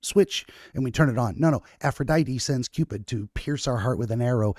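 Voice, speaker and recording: A man's voice: mid-range voice, fairly quick, a little mellow, no room sound, studio quality. A man hosting a podcast, talking in a rambling way with many restarts and self-corrections. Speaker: podcast host. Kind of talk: casual conversational interview.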